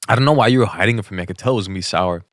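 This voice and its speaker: Lowish guy on a podcast, jokey blunt banter, swears a bit, super dry close sound. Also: racing, fairly bright tone, no echo. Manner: joking, blunt, swears casually. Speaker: podcast co-host. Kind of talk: casual banter.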